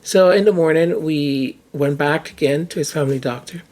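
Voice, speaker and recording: Hoarse, chatty podcast host. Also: mid-range voice, normal speed, darkish tone. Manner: chatty, restarts and repeats words mid-thought. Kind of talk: casual explanatory monologue.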